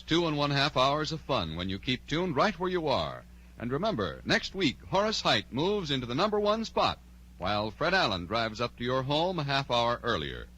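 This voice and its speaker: A man's voice: medium pitch, sharp tone, a little hiss or hum, low-quality recording. A man with an American accent delivering upbeat promotional announcements in 1940s radio style. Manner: upbeat salesmanship. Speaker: network announcer.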